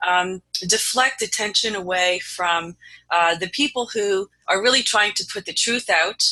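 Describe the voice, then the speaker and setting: Talk show host, mid-pitched and very bright, forceful tone, halting delivery on news commentary. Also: low-quality recording. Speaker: host introducing stories and a guest.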